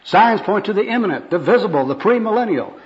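Man playing fervent old evangelist, high pitch. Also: expressive, quick pace. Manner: genteel, pompous, fervent. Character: kindly, earnest old evangelist spouting church jargon. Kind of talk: amateur skit read aloud. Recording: dull, lo-fi recording.

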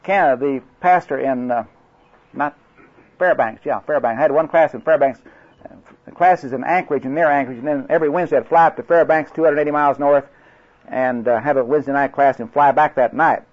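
Husky older man with an Oklahoma drawl. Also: medium pitch, brisk. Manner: storytelling, joking, drawling, rambling restarts. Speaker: evangelistic crusade class instructor. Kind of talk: folksy training talk with anecdotes. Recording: dull lo-fi recording.

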